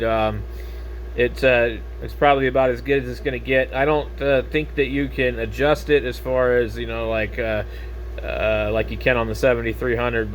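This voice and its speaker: American male ham operator, mid-pitched, casual and hesitant, slight radio noise behind him. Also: low-quality recording. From United States. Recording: steady background radio noise.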